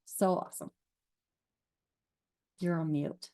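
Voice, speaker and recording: Woman, 40s, partner; lower voice, gushing and chatty, video call. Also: steady tone, slow pace, mellow. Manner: chatty, gushing, laughs between thoughts. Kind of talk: casual testimonial. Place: car.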